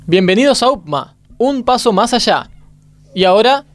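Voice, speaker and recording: A man's voice: high voice, animated, moderate pace. An Argentine man with a Rioplatense accent hosting a radio show in casual, slangy studio banter. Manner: informal, slangy. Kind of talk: casual studio banter and show introductions during a demo recording. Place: radio studio with control room behind glass.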